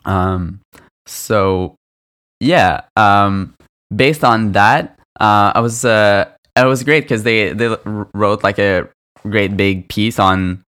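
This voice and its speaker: A man's voice: on the low side, fairly bright tone, smooth. A young man co-hosting a casual podcast, chatty and filling his talk with likes and ums. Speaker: podcast co-host, product designer. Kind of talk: casual chat.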